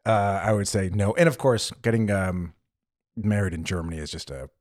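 A young man with a slightly low voice co-hosts a relaxed chat podcast. He tells stories with energy and slips into quick impressions of what other people said. The sound is dry and close to the mic. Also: dry recording. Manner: animated storyteller, acts out quotes. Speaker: podcast co-host. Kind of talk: casual chat. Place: living in Germany.

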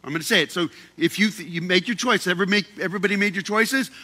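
A man's voice: high-pitched, fast talker, pushed and bright. A man speaking to a group in a casual, sermon-like talk. He is playful and joking, holding a handheld mic and often repeating and restarting his words. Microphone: handheld microphone.

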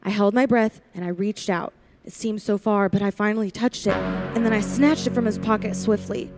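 A woman's voice: mid-range voice, steady tone, normal speed, loud music behind the voice, close to an everyday voice. A woman playing a frightened young working woman held by a killer in a radio thriller, pleading, faltering and sometimes defiant, also narrating. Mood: tense narration. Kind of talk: classic radio thriller acting with first-person narration.

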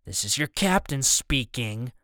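A man's voice: mid-range voice, lively intonation, a little slow, pushed and bright, dry recording, studio quality. A man voicing a cold male villain in an audiobook, commanding and mocking as he makes his threats. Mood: cold announcing. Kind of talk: audiobook character dialogue.

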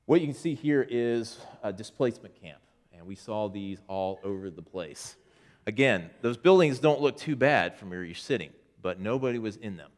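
Deep-voiced man giving a church trip report. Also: lively intonation, moderate pace. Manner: conversational, with many restarts, pointing at photos. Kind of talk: informal presentation to a congregation.

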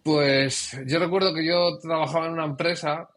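A man's voice: a little high, steady tone, moderate pace, a bit bright. A middle-aged man from Spain, a professional photographer, talking reflectively about his career in an interview, with trailing restarts. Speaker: guest photographer. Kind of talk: conversational interview.

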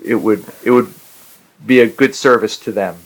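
A man, a guest poet on a podcast, talking in a halting, self-mocking way with a voice roughened and gravelly after a rough week. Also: fairly low pitch, low-quality recording. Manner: dry self-mocking humor, halting with fillers. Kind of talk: casual podcast chat.

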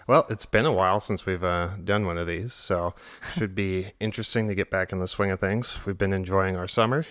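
A man co-hosting a medical podcast, speaking in a relaxed, wry manner. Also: low-pitched, brisk, no echo, clean studio sound. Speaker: podcast co-host. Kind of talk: casual podcast chat.

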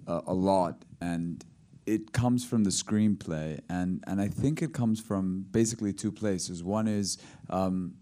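A man's voice: low voice, measured pace, warm tone. A man from Colombia, a film director, answering questions at a screening and repeating words as he thinks. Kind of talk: post-screening Q and A.

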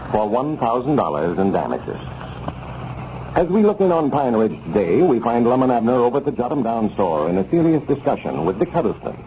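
An American man announcing and reading a scripted sponsor pitch in the style of 1930s radio. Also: mellow. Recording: muffled 1930s radio recording with hiss.

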